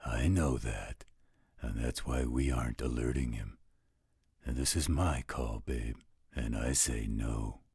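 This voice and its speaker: Man acting as gravelly, rock-bottom deep werewolf guardian. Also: takes it slowly, a little mellow, no room sound, studio quality, exaggerated voice. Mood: calm, firm. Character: protective, teasing werewolf guardian, calm and commanding. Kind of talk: roleplay audio drama acting.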